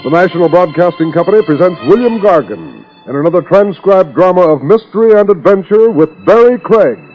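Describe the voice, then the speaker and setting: A man's voice: sits high, lively intonation, a little fast. A man announcing a drama programme in formal, theatrical 1950s radio style. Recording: dull old radio transcription with music.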